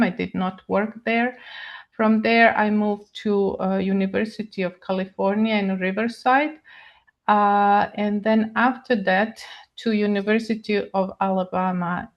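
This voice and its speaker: Older Croatian woman, slow halting webinar talk. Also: medium pitch, lo-fi sound. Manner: halting, slow, with many pauses and fillers. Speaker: guest speaker, neurochemist. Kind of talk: webinar lecture. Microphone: video call.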